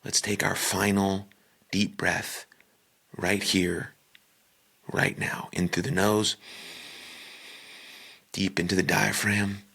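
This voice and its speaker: A man with a low, creaky voice leads a guided meditation at a very slow pace. He speaks in short, calm phrases with deliberate pauses between them. The delivery is husky and breathy. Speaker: podcaster leading guided meditation.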